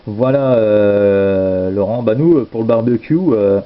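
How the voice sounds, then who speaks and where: A man's voice: fairly low pitch, unhurried. A man chatting casually over amateur radio, speaking hesitantly and repeating himself. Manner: hesitant, repetitive, trailing tag words. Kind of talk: casual radio contact chat. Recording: dull lo-fi audio with steady noise.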